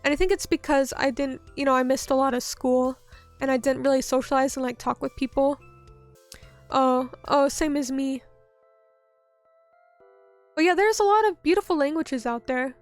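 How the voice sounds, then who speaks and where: A woman's voice: high-pitched, normal speed, pauses often, faint music behind. A woman streamer chatting casually with her viewers, reading their messages aloud and rambling with lots of "like" and "um.". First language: English. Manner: rambling, many fillers like "like" and "um".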